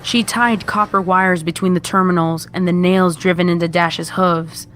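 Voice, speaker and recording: A woman's voice: fairly low pitch, fairly even intonation, moderate pace, fairly bright tone, a little hiss or hum. A woman reading a scripted horror story as its narrator.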